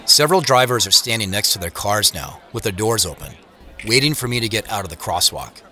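A man's voice: lowish voice, expressive, fairly quick, bright, forceful tone. An actor playing a harried, self-conscious man in an audio drama, speaking in a wry, confiding way. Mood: wry, reflective. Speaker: narrator and lead actor. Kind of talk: first-person audio drama narration and acting. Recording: produced audio drama with music, street ambience.

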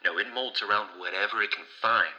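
An actor playing an exasperated, nagging, responsible colleague, scolding with sarcasm in an audio drama. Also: male voice, on the low side, a little fast, bright, forceful tone, muffled recording.

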